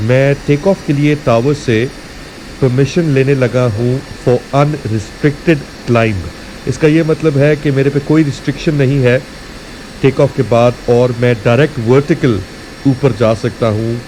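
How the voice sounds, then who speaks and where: A man's voice: mid-range voice, unhurried, rough recording. A man with a Pakistani accent narrating a flight simulator tutorial in a casual instructional way. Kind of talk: casual instructional narration mixing explanation and radio phraseology. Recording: steady clear background hum and noise.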